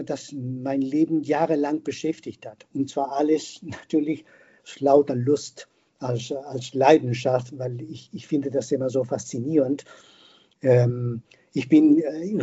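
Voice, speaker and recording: A man's voice: fairly high pitch, warm tone, breathy edge, muffled recording. An older man, a non-native speaker with a foreign accent, giving a reflective interview and repeating words as he searches for phrasing. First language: not German; a non-native speaker of German. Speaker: podcast guest, veterinarian and hunter.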